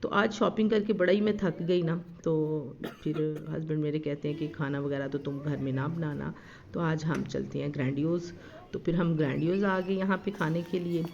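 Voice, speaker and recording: A woman's voice: low-pitched, fairly even intonation, normal speed, gravelly, a little mellow. A woman narrating a family shopping and dining vlog in a chatty, homely way, with a slightly sore throat and frequent fillers. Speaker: family lifestyle vlogger. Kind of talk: casual vlog voice-over narration. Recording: slightly dull lo-fi recording.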